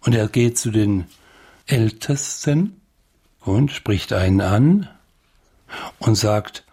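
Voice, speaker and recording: A low, husky-voiced man gives an informal commentary. He retells and interprets in a colloquial, wry way, as if thinking aloud. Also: animated, slow pace, warm tone.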